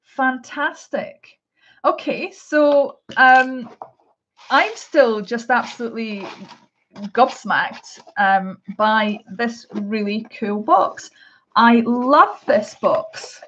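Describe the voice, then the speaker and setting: A woman's voice: fairly high pitch, extremely slow, fairly bright tone, lo-fi sound, slight background noise. A middle-aged Scottish woman chatting casually through a live unboxing, with many hesitations and restarts. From Scotland. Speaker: art vlogger.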